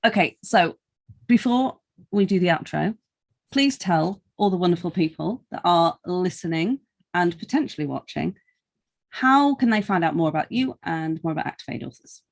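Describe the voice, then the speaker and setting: A woman's voice: on the low side, lively intonation, normal speed, creaky. A woman talking casually and informally as an interviewer on a podcast.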